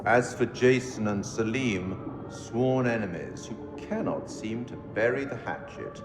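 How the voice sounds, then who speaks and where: An actor playing a mysterious, sardonic curator of stories in a horror game, speaking in a formal, measured, theatrical way. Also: male voice, on the low side, lively intonation. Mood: wry, ominous. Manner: formal, measured, ominous, addresses listener directly. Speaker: narrator and host figure of a horror game. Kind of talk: theatrical scripted game monologue. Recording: echoey hall reverb, music behind. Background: music.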